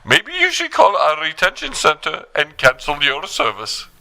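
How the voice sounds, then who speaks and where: A man's voice: a little high, huge pitch swings, normal speed, gravelly, pushed and bright, heavily acted. A man playing an obliging, maddeningly formulaic call-center agent in a comedy phone sketch, over-polite and repetitive, on noisy audio. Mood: formulaic, apologetic.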